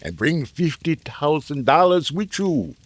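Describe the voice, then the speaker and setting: A man's voice: on the high side, big pitch swings, measured pace, noisy background. A man playing a sinister, calculating scientist in an old-time radio drama, with formal, clipped phrasing. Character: sinister, calculating male scientist selling macabre services.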